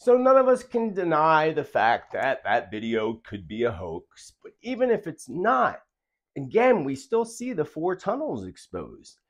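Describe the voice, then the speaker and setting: A man with a fairly high voice presents video commentary directly to his audience. His intonation swings widely up and down, and his delivery is earnest and emphatic. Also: wildly swooping pitch, a little slow, lo-fi sound. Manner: earnest, emphatic, rhetorical questions. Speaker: host of a prophecy video channel.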